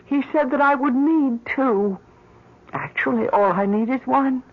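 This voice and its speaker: A woman's voice: sits high, unhurried. An actress playing an obsessed, grieving wife and mother in an old radio drama, with an emotional, pleading, theatrical delivery. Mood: secretive, intense. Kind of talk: 1970s radio drama acting. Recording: muffled, lo-fi old radio broadcast.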